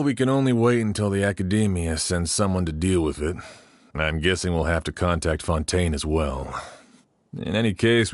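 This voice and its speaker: An actor playing a weary but fair, authoritative sheriff in a video game. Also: male voice, deep voice, no room sound.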